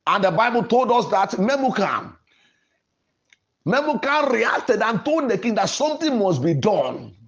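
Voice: mid-range voice, lo-fi sound. A preacher delivering a sermon in an emphatic, declarative way, repeating phrases for emphasis. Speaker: preacher and podcast host.

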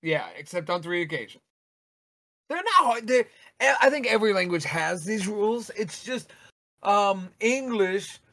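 High-voiced man in casual chat. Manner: animated, talks over others, repeats words when excited. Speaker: podcast co-host.

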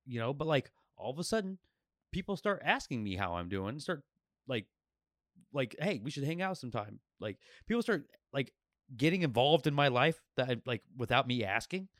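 A man hosting a podcast in casual chat, speaking candidly and reflectively, with halting restarts. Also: mid-range voice, very animated, a bit bright, no echo, clean studio sound. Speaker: podcast host.

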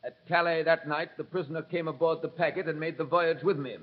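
A man's voice: fairly high pitch, steady tone, a little fast, close to an everyday voice. An actor playing a proper, terse male banker as a witness in a mid-century radio drama, answering formally. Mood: reserved, formal. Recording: muffled old radio broadcast.

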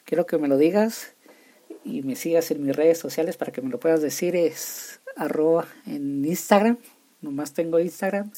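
A man's voice: on the high side, normal speed, dark tone. A young man from Chihuahua, Mexico, with a northern Mexican accent, hosting a casual solo show and often stumbling over his words and restarting. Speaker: podcast host.

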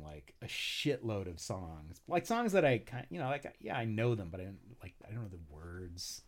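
Lively, swearing man telling a story. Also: on the low side. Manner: animated, profane, laughs often. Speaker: podcast guest, singer. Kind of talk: casual chat, storytelling.

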